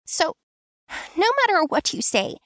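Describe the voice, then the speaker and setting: A tender but firm young woman pleads with a wounded friend, as a woman reading a story puts her on. Her voice sits well above the narration and carries a faint gravelly edge. The sound is close and dry, with no room around it. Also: extremely high pitch, animated, a little slow, no echo, voice pushed up, heavily acted. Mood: stern tender. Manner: pleading and soothing, then stern.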